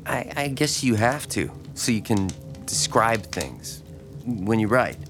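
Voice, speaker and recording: A man's voice: lowish voice, sing-song, slow, music in the background, exaggerated voice. A man playing a lonely, starstruck small-town fan in an audio drama, speaking hesitantly and deferentially. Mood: awkward, subdued. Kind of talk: naturalistic audio drama dialogue.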